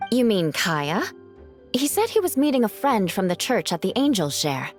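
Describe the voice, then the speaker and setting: A woman's voice: medium pitch, sing-song, normal speed, faint music behind, natural voice. A woman voicing a wry adult knight with a teasing way of talking.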